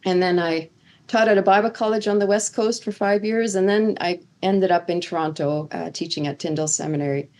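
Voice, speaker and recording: A woman's voice: fairly low pitch, steady tone, fairly quick, a little mellow. A middle-aged woman originally from Sweden, a seminary professor, answering interview questions earnestly with many fillers and restarts. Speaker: seminary professor of Old Testament.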